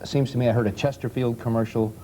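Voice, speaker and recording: A man's voice: mid-range voice, steady tone, fast talker, warm tone. A man talking conversationally as a guest on a 1970s television talk show panel. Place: television studio. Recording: faintly noisy 1970s television recording.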